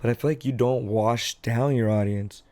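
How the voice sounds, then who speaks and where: A man's voice: mid-range voice, steady tone, moderate pace, warm tone. A man in his twenties talking as a guest in a casual podcast chat, laid-back and slangy, saying "bro" and "like" a lot. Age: 20s.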